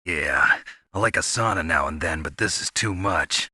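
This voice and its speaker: An actor playing a gruff, sardonic special-ops soldier in a video game, terse and world-weary with a gravelly, husky voice. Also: male voice, rumbling low, lively intonation, normal speed, bright, forceful tone, dry recording, studio quality, full character voice. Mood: dry, weary.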